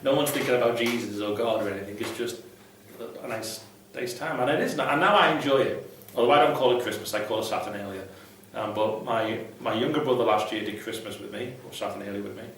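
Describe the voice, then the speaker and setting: A man's voice: mid-range voice, fast talker, hardly any pauses, a bit bright. A man giving casual, unscripted advice to viewers in a blunt, irreverent, sweary way. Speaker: vlogger, ex-Jehovah's Witness advice host. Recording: very echoey room, distant mic, faint noise.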